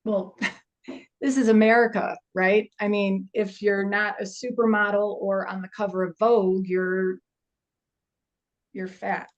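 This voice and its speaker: A woman's voice: medium pitch, level tone, unhurried, highs cut off, natural voice. A woman playing a middle-aged forensic investigator at a film table read, matter-of-fact and wry, with a hesitant conversational delivery. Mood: wry, amazed. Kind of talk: actor's table read of an indie horror film.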